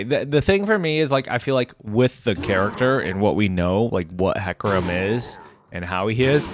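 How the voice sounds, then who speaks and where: A man giving casual, off-the-cuff reaction commentary as a video host, thinking aloud in an informal way. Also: mid-range voice.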